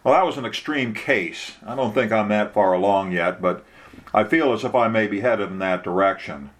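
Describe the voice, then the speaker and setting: A man's voice: on the low side, moderate pace, lightly acted. A man reading a story, voicing an earnest, mild-mannered pastor in a plain, hesitant, thoughtful way. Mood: troubled, reflective.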